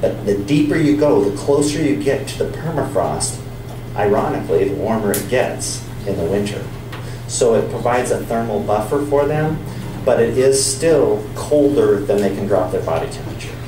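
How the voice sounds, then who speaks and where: A man giving a public science talk and answering audience questions in a relaxed, explanatory way. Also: mid-range voice, normal speed. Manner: relaxed, explanatory, with some restarts. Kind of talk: lecture and audience Q&A. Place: bar or pub venue with an audience. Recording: echoey, distant, noisy room.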